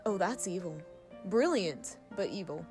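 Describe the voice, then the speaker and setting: A woman's voice: on the low side, animated, a little slow, a little mellow, soft background music. A woman playing a dry, teasing, sardonic biker crewmate in an audio drama. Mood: amused.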